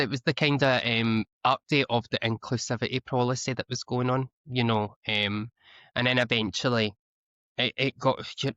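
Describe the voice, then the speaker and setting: A man's voice: fairly low pitch, a bit bright, no room sound. A Scottish man speaking as an interview guest, talking earnestly with frequent restarts and repeated words. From Scotland. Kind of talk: candid conversational interview. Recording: slightly dull, lo-fi audio.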